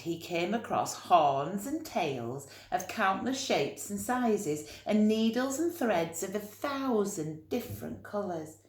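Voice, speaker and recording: A woman reading a children's story expressively, with dramatic emphasis and drawn-out key words. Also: on the low side, echoey room.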